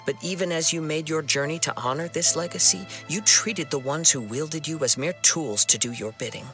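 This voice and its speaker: A man voicing a calm, principled young wandering swordsman in a video game, with measured, earnest, formal delivery. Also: on the high side. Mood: stern, reproachful. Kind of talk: dramatic video game dialogue. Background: music.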